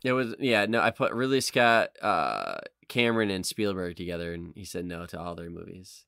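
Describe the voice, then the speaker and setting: A man with a fairly low, bright voice, recorded dry and close. He chats casually as a podcast co-host, working things out aloud and swearing freely as he goes. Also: expressive, normal speed, gravelly, smooth, no echo. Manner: swears casually, thinks out loud. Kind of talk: casual chat, guessing game among friends.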